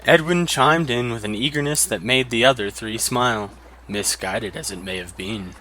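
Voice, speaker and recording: A man's voice: mid-range voice, moderate pace, pushed and bright, low-quality recording. A man reading a scripted fantasy adventure story as a dramatic narrator.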